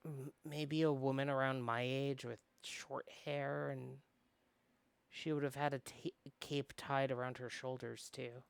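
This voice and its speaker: A man reading aloud as an earnest, anxious young runaway rookie officer in a visual novel, speaking haltingly. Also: medium pitch, flat delivery, gravelly, smooth, no echo. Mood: hesitant, probing. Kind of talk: amateur visual novel read-aloud acting.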